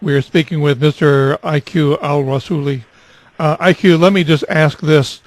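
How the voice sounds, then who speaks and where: A male radio interviewer who asks measured questions, with an occasional brief filler. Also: fairly high pitch, steady tone, no echo.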